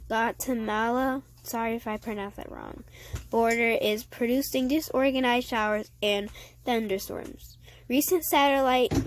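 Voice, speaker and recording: A woman hosting a casual weather update, reading forecast text aloud haltingly and sniffling with a cold. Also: on the high side. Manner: halting reading with stumbles and self-corrections. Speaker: weather video host.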